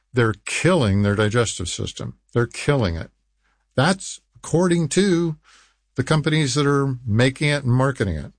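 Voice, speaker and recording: A man hosting a health infomercial, speaking in an emphatic, deliberate way and repeating key phrases. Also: lowish voice, no echo. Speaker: health program host selling supplements. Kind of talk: infomercial radio talk, reading testimonials.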